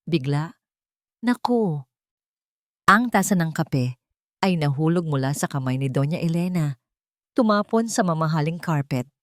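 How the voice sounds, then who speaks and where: A woman storyteller reading a scripted, dramatic narration with measured phrasing. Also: low-pitched, lively intonation, dry recording, clean studio sound.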